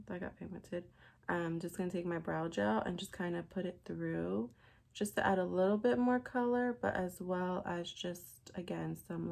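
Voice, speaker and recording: Raspy young woman chatting through a tutorial. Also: lowish voice, a little mellow, slight background noise. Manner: chatty, rambling with many fillers. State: raspy from a sinus infection. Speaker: beauty vlogger. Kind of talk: casual makeup tutorial.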